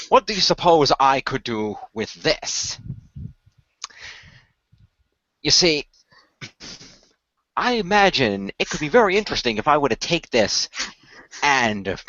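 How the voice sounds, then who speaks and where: A man's voice: medium pitch, very animated, a little slow, fairly bright tone, no echo, highs cut off. A man playing a smug, sarcastic god of the underworld in a radio play, chatting like a mock-reassuring showman. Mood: gloating. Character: fast-talking smug male god of the underworld. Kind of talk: hobby radio play acting.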